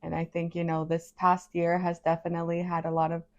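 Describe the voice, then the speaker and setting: A woman in her twenties from Guatemala, co-hosting a relaxed podcast chat. Her voice sits a little low, and she talks in a thoughtful, measured way, padding her points with soft hedges. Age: 20s. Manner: thoughtful, measured, hedging with you know and I think. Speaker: podcast co-host. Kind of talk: casual chat.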